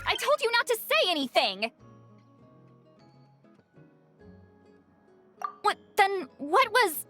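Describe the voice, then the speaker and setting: A woman voicing a prickly, easily embarrassed woman in an animated game, huffy and dry, with music behind her. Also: extremely high pitch, heavily acted. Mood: flustered. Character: prickly, self-conscious woman, easily embarrassed, fond of slacking.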